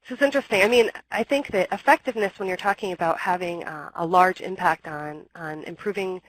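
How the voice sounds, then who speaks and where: A woman's voice: on the low side, fairly quick, no room sound. A young woman with a slight cold, her voice a little scratchy, presenting a webinar talk from home over a computer mic, explaining steadily with ums and restarts.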